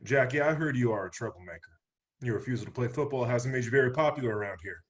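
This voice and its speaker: Guy as army colonel, talks real fast, stern and bossy, mid pitch. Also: lightly acted. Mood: stern, pressing. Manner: brisk, authoritative. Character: stern male Army colonel, commanding and disapproving. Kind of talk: screenplay table read.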